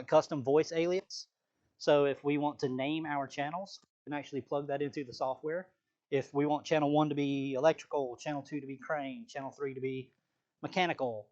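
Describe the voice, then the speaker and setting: A man's voice: mid-range voice, a little mellow, lo-fi sound. A man presenting a live product training session as a sales trainer, explaining points plainly and checking in with the occasional "right?".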